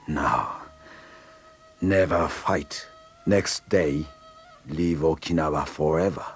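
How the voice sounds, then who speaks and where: A man's voice: extremely low pitch, slow, faint music behind. A man playing an older, stoic Okinawan karate master in a read-along story, speaking terse broken English with a Japanese accent. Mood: grave, reflective.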